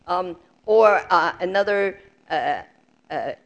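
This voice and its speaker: A woman interpreting a sermon aloud, haltingly, piecing sentences together in short fragments. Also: on the low side, expressive.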